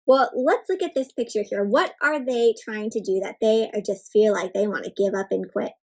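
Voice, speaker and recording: A woman's voice: mid-range voice, normal speed, darkish tone. A woman hosting an interactive picture-book read-aloud, speaking expressively to children with exaggerated gasps and frequent questions.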